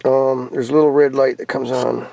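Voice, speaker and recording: Gravelly American man rambling casually. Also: mid-range voice, little pitch movement, moderate pace, dark tone. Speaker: hobbyist vlogger showing off CB radio gear. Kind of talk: casual rambling show-and-tell. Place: in or around a pickup truck. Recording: noisy handheld camera recording with clicks.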